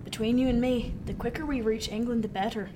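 Woman playing a high-voiced, plain-spoken seasoned sailor man. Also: fairly even intonation, fairly quick, noisy background, lightly acted. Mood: confiding. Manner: old-fashioned nautical speech. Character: seasoned, plain-spoken male merchant sailor, wry and confiding. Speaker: actor. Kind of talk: radio drama acting.

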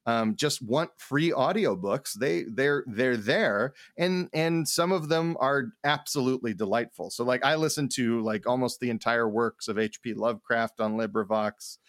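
A man co-hosts a casual podcast at an ordinary middling pitch. He rambles haltingly, repeating words while he works out his thought. The sound is close and dry, with hardly any room around him. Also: fairly bright tone, no room sound. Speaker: podcast co-host, art professor.

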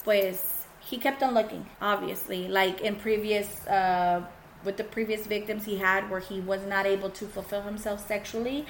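Woman podcast co-host, hoarse from illness, bilingual casual storytelling, faint noise. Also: mid-range voice, fairly even intonation, normal speed, poor audio. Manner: switches between English and Spanish mid-sentence. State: sick, coarse voice. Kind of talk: casual true-crime chat.

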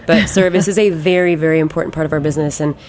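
An American woman in her late thirties with a low voice talks very quickly about her own work. She is informal and emphatic, repeating words to drive a point home, as in a casual radio interview. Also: steady tone, a little mellow. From Ohio, United States. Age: late 30s. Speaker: ice cream maker and business owner, interview guest.

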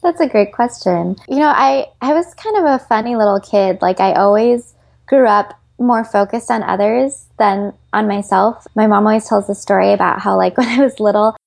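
A chatty woman from Chicago answers interview questions with an easy, friendly flow, filling her talk with "like" and "you know" and breaking into laughs. Her voice carries a gravelly fry, and the recording sounds dry and close. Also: medium pitch, normal speed, darkish tone, no echo. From Chicago, US Midwest. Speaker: guest, actress.

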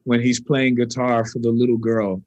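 A man from New York, a guitarist and composer, talking casually in an interview and telling rambling, animated stories with many restarts. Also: mid-range voice, moderate pace, dry recording, lo-fi sound.

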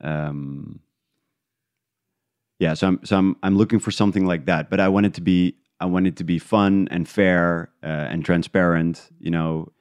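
A man talking casually and reflectively as an interview guest, feeling his way through thoughts with halting restarts. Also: deep voice, fairly even intonation, a little mellow, clean tone, no echo, clean studio sound. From Netherlands. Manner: halting, thinking aloud in restarts. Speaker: interview guest, independent podcast creator.

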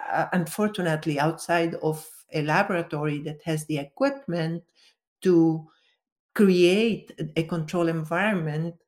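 A woman's voice: low-pitched, darkish tone. Woman explaining carefully. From Venezuela. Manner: careful, explaining step by step with restarts. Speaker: guest, color expert. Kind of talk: explanatory interview answers.

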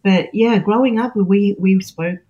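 A woman's voice: mid-range voice, a little slow, mellow, highs cut off. A woman in her forties with an Australian accent, a chef talking as an interview guest, thoughtful and full of restarts. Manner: thoughtful, many fillers and restarts. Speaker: guest, chef and cookbook author. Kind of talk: reflective interview conversation.

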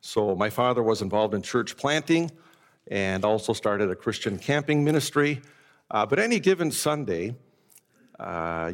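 A middle-aged man who grew up in Japan gives a conference talk on ministry. He speaks in a measured way with many "uh" fillers. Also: medium pitch. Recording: long reverb of a large hall.

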